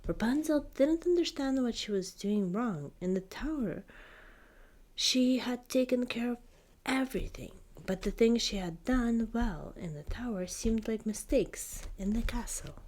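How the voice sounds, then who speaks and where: A woman softly whispering a fairytale as ASMR narration, deliberate and breathy. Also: fairly high pitch, animated. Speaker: storyteller.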